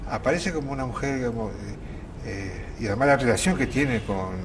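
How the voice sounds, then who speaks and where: A man in casual conversation about the theatre, speaking haltingly and often correcting himself. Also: mid-range voice, animated, background noise. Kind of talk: casual interview conversation about a stage play.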